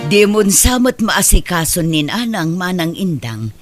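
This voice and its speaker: A woman's voice: on the low side, big pitch swings, measured pace, over music. A woman with an Ilocano accent acting in a comedy drama, speaking in a pleading, earnest way. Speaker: drama voice actor, younger sibling.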